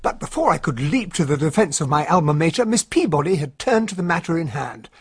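An actor playing a well-mannered, sceptical English gentleman sidekick with an upper-class accent in a radio drama. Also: fairly high pitch, big pitch swings, brisk, slightly rough, no echo, studio quality. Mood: wry narration. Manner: well-mannered, drily incredulous. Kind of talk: radio drama acting and narration.

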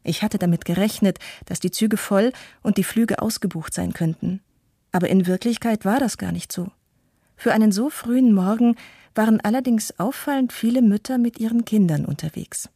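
A mellow, slightly husky female voice in a dry recording plays a shaken but resolute mother acted by a woman. She tells her testimony in a measured, sober radio play monologue. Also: mid-range voice, no room sound. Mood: sober, grave.

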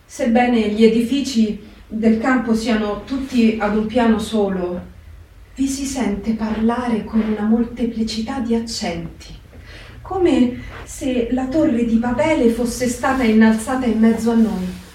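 A woman's voice: a little high, measured pace, warm tone, a little hiss or hum, natural voice. An actress playing a reflective woman writing letters from a wartime camp, in a dramatic literary reading. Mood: grave, wry. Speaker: stage actress. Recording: very echoey, distant, music behind. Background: guitar music.

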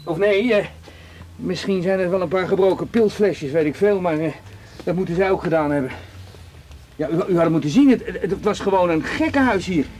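Guy acting worked up cinema owner in a radio play, high voice, stammery and complaining, bit of noise and clicks. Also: brisk, darkish tone, close to an everyday voice. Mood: indignant, flustered. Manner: agitated, stammering, repetitive complaining. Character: indignant, flustered male cinema proprietor.

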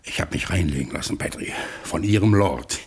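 A man's voice: deep voice, lively intonation, a little fast, a little hiss or hum. An actor in a German radio play playing a ruined American gangster boss, anxious, blustering and self-pitying, stammering as he confides and pleads. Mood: secretive, anxious.

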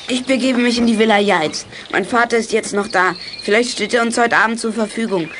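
A woman's voice: on the high side, fairly quick, fairly bright tone, slight background noise, natural voice. A woman playing an eager child detective who leads a club in a youth radio play. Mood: brisk, businesslike.

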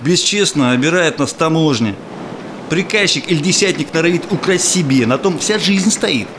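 An actor playing a proud, cynical Cossack robber in a rhymed verse audio play, declaiming emphatically. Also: male voice, a little high, lively intonation, normal speed, noisy background, natural voice. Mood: bitter, cynical.

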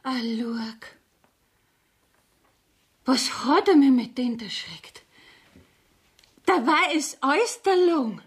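A woman's voice: high-pitched, expressive, unhurried, husky, heavily acted. An actress playing a naive but feisty young village woman in a radio play, speaking earnestly in Bavarian dialect. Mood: relieved, indignant.

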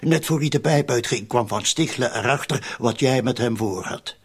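An actor with a Flemish accent playing a cynical, streetwise murder accomplice in a radio drama, insistently spelling things out in colloquial speech. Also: male voice, mid-range voice, normal speed, breathy edge.

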